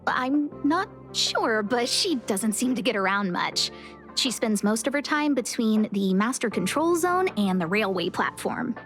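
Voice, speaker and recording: Polite, high-voiced young station staffer. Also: female voice, very animated, measured pace, a bit bright. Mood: helpful. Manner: polite, eager, helpful. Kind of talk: scripted video game voice acting. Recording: game dialogue with music behind.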